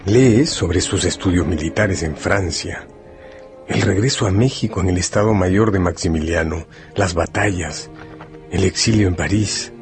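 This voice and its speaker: A man's voice: deep voice, a little slow. A man narrating a radio drama in a scripted, dramatic storytelling style. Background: music.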